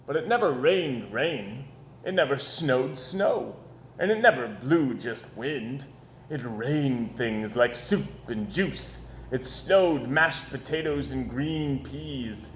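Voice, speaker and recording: A man reading a children's story aloud in an expressive, animated storytelling style, with dramatic pauses and emphasis. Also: medium pitch. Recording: echoey room with background noise.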